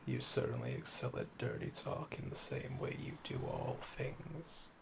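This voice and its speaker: A male actor plays a calm, obsessive assassin in an intimate ASMR audio roleplay. His voice is heavily creaky and gravelly, and he takes his time, teasing and flirting with smug deliberation. Clear noise runs behind the voice. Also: medium pitch, unhurried. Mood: calm, controlled, flirtatious.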